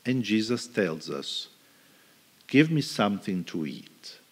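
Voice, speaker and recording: A middle-aged male priest preaching a homily at a lectern in a large church, reflective, with pauses and restarts. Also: lowish voice, very animated, breathy edge. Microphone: stand mic at lectern. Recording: reverberant church hall.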